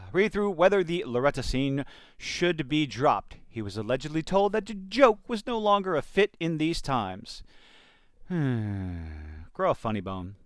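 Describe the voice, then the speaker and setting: A man's voice: on the high side, big pitch swings, measured pace, dry recording, clean studio sound. A man giving opinionated commentary in a blunt, sardonic and emphatic manner. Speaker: commentator reacting to entertainment news. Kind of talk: opinionated commentary with quotes read out.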